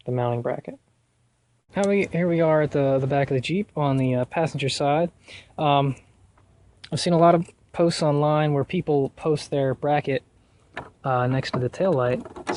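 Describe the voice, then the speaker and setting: A man giving a casual, hands-on do-it-yourself tutorial in a halting, thinking-aloud way. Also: mid-range voice, a little mellow. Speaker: DIY car project vlogger. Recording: noisy recording with clicks.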